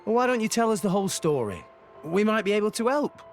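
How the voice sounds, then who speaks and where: A man's voice: sits high, very animated, quick pace, soft background music. A man voicing an earnest young male hero who speaks in a coaxing way. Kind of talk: video game voice acting.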